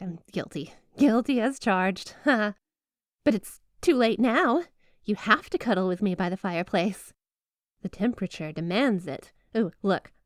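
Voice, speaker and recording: A woman's voice: medium pitch, no room sound, studio quality. A woman playing a flirty, possessive snow spirit wife in a roleplay ASMR monologue, coy and teasing, doting on her listener with feigned innocence. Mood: coy, mock-offended.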